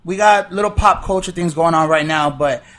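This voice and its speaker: High-voiced easygoing host man. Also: normal speed, a bit bright. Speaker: podcast host. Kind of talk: casual chat.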